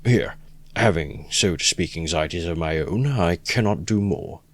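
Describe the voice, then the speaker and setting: A man doing a pompous, calculating gentleman. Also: low-pitched, no echo. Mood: measured. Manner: measured, pompous, condescending. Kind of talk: audiobook reading.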